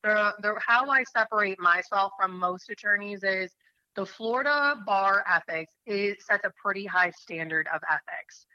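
Bright-voiced woman telling her story. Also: mid-range voice, normal speed, no echo, lo-fi sound. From New Hampshire. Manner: earnest, rambling with many um fillers. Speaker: attorney, interview guest. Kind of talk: faith interview, personal story.

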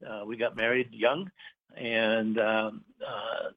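A middle-aged man from Puerto Rico, a business owner, chatting as an interview guest, halting with many fillers and pauses. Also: on the low side, flat delivery. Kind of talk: casual interview chat. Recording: tinny phone line.

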